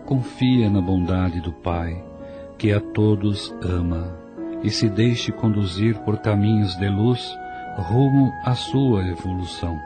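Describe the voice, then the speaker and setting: A middle-aged man playing a devout, steady father in a radio drama, calm and measured. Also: fairly low pitch. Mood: solemn prayer. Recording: music behind the voice.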